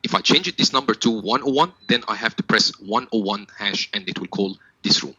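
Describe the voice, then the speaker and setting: A man's voice: on the low side, a little fast, bright, forceful tone, slightly rough, no room sound, highs cut off. A man working as a technical trainer, giving a step-by-step product tutorial in a methodical, instructional manner.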